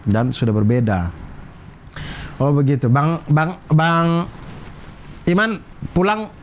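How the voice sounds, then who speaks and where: A man's voice: on the high side, slow pace, highs cut off, slight background noise. A man hosting a casual interview, asking curious, short follow-up questions. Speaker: host.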